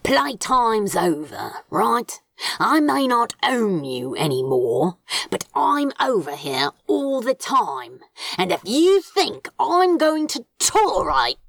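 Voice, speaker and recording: A scornful demon man from a storybook, acted out by a woman, sneering and growling his threats. The delivery is unhurried and swings widely in pitch, with a grumbling, exasperated edge. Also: big pitch swings, no room sound, exaggerated voice. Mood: menacing, scornful. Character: scornful male demon scolding houseplants, grumbling and menacing.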